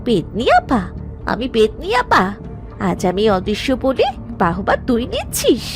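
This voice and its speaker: A high-voiced young village wife turned unseen spirit in a cartoon. She scolds and taunts theatrically, her pitch swinging freely, with music behind her. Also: huge pitch swings, a little slow, full character voice. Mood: indignant, mischievous. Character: feisty invisible young wife haunting her family. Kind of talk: animated cartoon voice acting.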